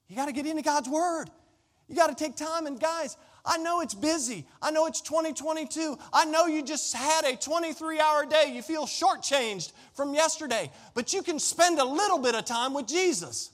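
A man's voice: very high-pitched, expressive, moderate pace, fairly bright tone. A man preaching a sermon as a pastor, speaking emphatically with folksy direct address and repeated phrases. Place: large indoor room, preaching to a congregation. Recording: reverberant hall with long echo.

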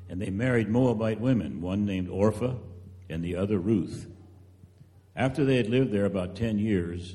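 In a long, reverberant hall, a man reads scripture as a narrator. His voice is very low and dark, and he tells the story in a measured, solemn way. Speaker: narrator in church drama team. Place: large church hall, live reading.

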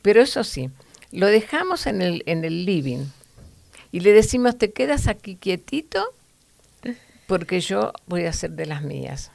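A woman's voice: lowish voice, big pitch swings, unhurried, creaky, a little mellow, no room sound. A woman teaching from the Bible to other women in an earnest, preachy way, with fillers and restarts.

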